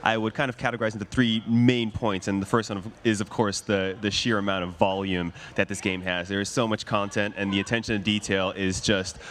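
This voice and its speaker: A man's voice: fairly low pitch, flat delivery, fairly bright tone, a little hiss or hum. A man presenting a game in an interview, rattling off points with many fillers. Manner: quick, filler-laden explanations. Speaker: game developer spokesperson and interpreter. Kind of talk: explaining game features in an interview.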